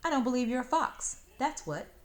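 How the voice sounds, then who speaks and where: A woman's voice: sits high, very animated, moderate pace, pitched above the performer's own voice. Storybook little girl, played by a woman: country talk, bold, sassy, stubbornly unimpressed. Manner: plain-spoken, sassy rural dialect. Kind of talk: storybook read-aloud.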